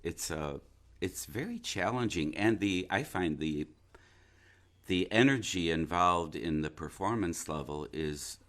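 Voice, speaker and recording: Very deep-voiced man, thoughtful and unhurried. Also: lively intonation, fairly bright tone. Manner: thoughtful, halting, searching for words. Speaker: interview guest, stage actor. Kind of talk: reflective interview conversation.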